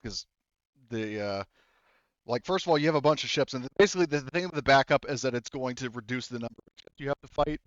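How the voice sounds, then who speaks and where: A man's voice: mid-range voice, fast talker, dry recording, lo-fi sound. A man working as game master, narrating a tabletop game casually and informally, often thinking aloud.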